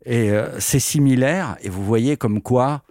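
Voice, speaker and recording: A man's voice: mid-range voice, unhurried, mellow, dry recording, clean studio sound. A man hosting a radio interview, effusive and complimentary with his guest. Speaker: radio host.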